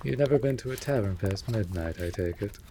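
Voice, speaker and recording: A man's voice: on the low side, lively intonation, a little fast, mellow. A man playing a wry, put-upon winged animal familiar in a fantasy audio roleplay, with teasing, dramatic, formal diction. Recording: clear background noise.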